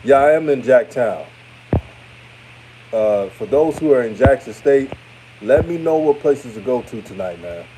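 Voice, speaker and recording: A man in his thirties, from Houston, with a deep voice that sounds older, giving casual hot takes and reading chat comments on a live stream. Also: unhurried, pauses often, noisy background. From Houston, Texas. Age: 30s. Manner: relaxed, chatty, repeats himself, trails off. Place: his room after a game.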